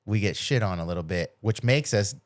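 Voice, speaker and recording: A man's voice: fairly low pitch, expressive, brisk, no echo, studio quality. A middle-aged man in a casual podcast chat, telling personal stories with acted-out quotes and loose, salty language. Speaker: podcast host.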